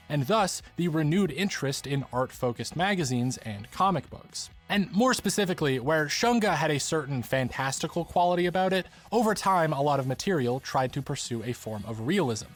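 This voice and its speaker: A man reading scripted explanatory narration as the narrator of a video essay. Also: on the high side.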